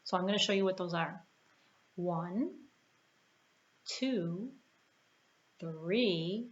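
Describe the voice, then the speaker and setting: A woman's voice: on the low side, extremely slow. A woman hosting a children's storytime in an encouraging way, with simple, repeated sing-along phrases and questions for young listeners. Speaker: children's storytime host at a library. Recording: roomy echo, dull sound, background noise.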